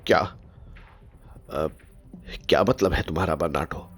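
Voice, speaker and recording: A man's voice: deep voice, slow pace, faint music behind, pitched below the performer's own voice. A man acting a tired, nervous castle guard in an audio drama, hesitant and stammering with doubt. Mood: doubtful. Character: weary, uncertain night sentry, nervous and doubting. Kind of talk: dramatic radio-play acting.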